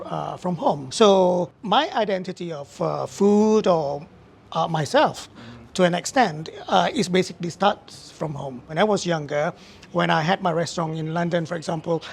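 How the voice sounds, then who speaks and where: A middle-aged man from Malaysia, a chef chatting as an interview guest, unhurried and searching for words. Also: high-pitched, vocal fry, slightly rough. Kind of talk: casual interview chat.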